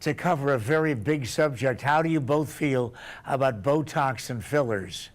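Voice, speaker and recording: A man with a mid-range voice hosts a television talk show. He asks questions in a friendly, brisk manner and keeps the conversation moving. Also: medium pitch. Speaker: TV show host.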